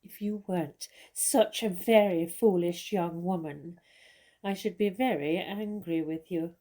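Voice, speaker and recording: An actress playing a famous, worldly stage star who speaks in a measured, composed and condescending way. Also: female voice, fairly low pitch, warm tone. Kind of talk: stage-style dramatic dialogue.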